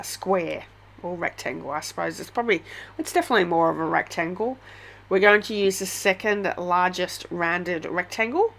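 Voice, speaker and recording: A woman's voice: fairly low pitch, vocal fry, a bit bright. An Australian woman with a head cold, chatting through a casual step-by-step craft tutorial with many ums.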